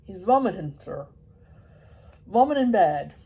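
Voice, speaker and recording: A woman's voice: mid-range voice, big pitch swings, gravelly, warm tone, husky, exaggerated voice. A woman reading a story and voicing a solemn, hard-of-hearing older man with an Irish accent. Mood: plain, loud. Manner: slow, plain, hard of hearing.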